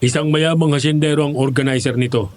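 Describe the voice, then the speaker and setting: A man's voice: on the high side, fairly even intonation, fast talker, close to an everyday voice. An actor playing a persuasive, glib male job recruiter with coaxing sales talk in a horror audio drama.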